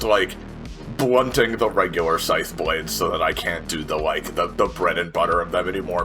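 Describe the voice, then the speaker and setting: Bright-voiced man playing a tabletop game. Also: on the low side. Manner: colloquial, profane, thinking aloud. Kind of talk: casual game chat and narration. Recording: music under the voice. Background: background music.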